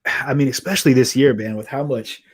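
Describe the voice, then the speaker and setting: Male host, mid-pitched voice, earnest rambling delivery, dry close mic. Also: fairly quick, husky, dry recording. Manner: rambling, earnest, trails off and restarts. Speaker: podcast host. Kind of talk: conversational interview.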